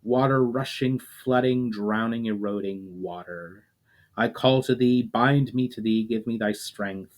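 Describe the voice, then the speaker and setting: A man reading the chant of a noble lady calling on the power of water, slow and incantatory. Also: mid-range voice, lightly acted.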